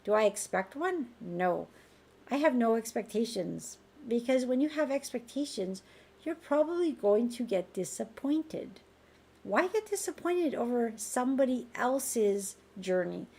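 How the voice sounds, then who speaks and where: A woman with a raspy voice hosting a live group healing call. She speaks in a gentle, reassuring and informal way. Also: fairly high pitch, lively intonation, measured pace. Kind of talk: live-streamed conversational healing talk. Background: faint background music.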